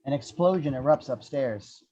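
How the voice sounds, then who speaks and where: A man's voice: medium pitch, normal speed, dark tone, dull audio. A man reading out the action descriptions of a screenplay as narrator.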